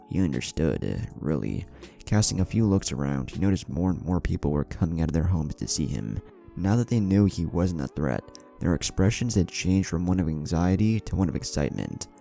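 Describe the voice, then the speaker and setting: Very deep, gravelly male storyteller. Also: lively intonation, moderate pace, dark tone, soft background music. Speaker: narrator. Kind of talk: scripted story narration of fan fiction.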